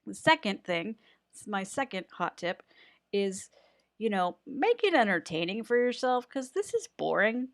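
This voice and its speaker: A woman's voice: mid-range voice, big pitch swings, a little slow, dry recording. A woman giving a casual, unscripted editing tutorial in a chatty, rambling way with self-deprecating humour.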